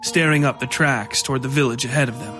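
A man's voice: medium pitch, fast. A man reading scripted horror fiction as narrator, with music playing under his voice.

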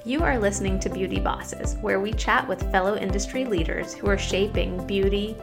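A woman's voice: mid-range voice, moderate pace, music in the background. A woman hosting a podcast, upbeat and enthusiastic as she introduces and interviews a guest. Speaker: podcast host, plastic surgeon.

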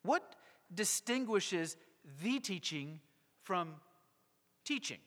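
A male pastor preaching a sermon to a congregation, emphatic and rhetorical, with deliberate pauses. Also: sits high, animated, fairly bright tone. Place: church sanctuary. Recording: long hall reverb.

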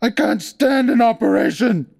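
A man's voice: very animated, normal speed, breathy edge. A man voicing a sick, irritable man in constant pain who wails and groans. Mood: shrieking. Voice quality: wailing, groaning, shrill. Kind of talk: audiobook character voice.

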